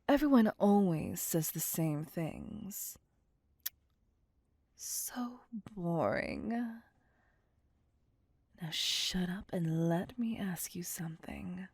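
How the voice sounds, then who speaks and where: A woman voicing a possessive, vain vampire mistress in an ASMR roleplay, breathy and often whispering, with condescending endearments. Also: fairly low pitch, expressive, a little mellow, dry recording, full character voice. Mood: bored annoyance. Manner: slow, deliberate, condescending endearments. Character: possessive, vain female vampire, commanding and seductive. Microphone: close, dry microphone.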